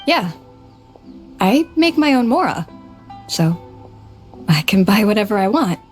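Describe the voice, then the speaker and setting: A woman playing a gentle, self-doubting young working woman in a video game, speaking hesitantly. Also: medium pitch, sing-song, a little slow, faint music behind.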